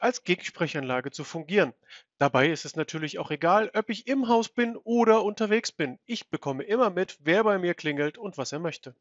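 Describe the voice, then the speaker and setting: A man in his thirties narrating an instructional software walkthrough, explaining step by step as a tutorial presenter. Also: fairly high pitch, no room sound, muffled recording.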